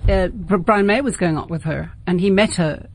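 A woman's voice: on the low side, normal speed. A woman with a South African accent hosting a late-night radio interview in a conversational, rambling way, often circling back to rephrase.

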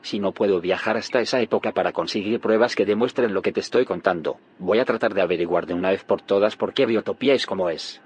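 Low-voiced, solemn secretive scientist. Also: male voice, fast, few pauses, husky. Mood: resolute. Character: grave, secretive male scientist haunted by failed timelines. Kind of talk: audio fiction drama acting.

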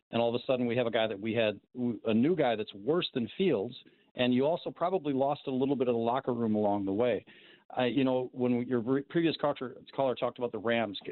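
A man calling a sports radio show over the phone, laying out his take as a fan in a measured, reasoned way. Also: medium pitch. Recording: narrow, tinny phone line.

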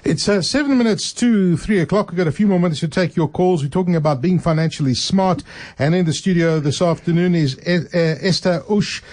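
A man hosting a radio call-in show from the studio, relaxed and loose, with frequent fillers. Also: sits high, brisk. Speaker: radio host.

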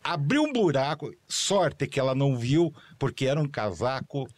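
An older man and former show producer, recounting stories in a rambling way with slow, low asides. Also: sing-song, no echo. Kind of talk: anecdotal storytelling.

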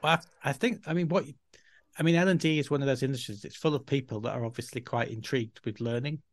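A man's voice: mid-range voice, quick pace, no echo, clean studio sound. A middle-aged man from Yorkshire with a Yorkshire accent, chatting as a podcast guest in a rambling, self-deprecating way. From Yorkshire, England. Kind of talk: casual interview talk.

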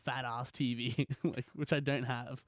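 A man's voice: medium pitch, flat delivery, a little slow, no echo, studio quality. A young man with an Australian accent hosting a podcast, chatting casually and jokily about video games in slangy speech. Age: young adult. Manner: jokey, slangy, exaggerated mock voices. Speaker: podcast host.

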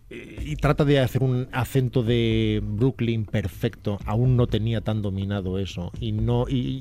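A man, giving detailed, measured film commentary on a radio chat show. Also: on the low side, dark tone.

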